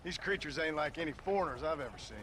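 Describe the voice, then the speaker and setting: An actor playing a rugged, weary gunslinger with an American Western accent and a dry, sardonic manner. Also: male voice, a little high, lively intonation, fast talker, pushed and bright. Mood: dry, polite. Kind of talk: dry Western game cutscene acting. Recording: noisy outdoor game ambience.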